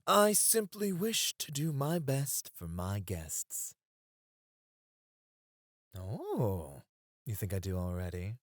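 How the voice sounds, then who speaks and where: A man's voice: fairly low pitch, huge pitch swings, takes it slowly, pauses often, no room sound, studio quality, heavily acted. A man acting as a flirtatious, devoted bunny club host in an ASMR roleplay, with a teasing, courtly, eager-to-please manner. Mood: flirty, eager.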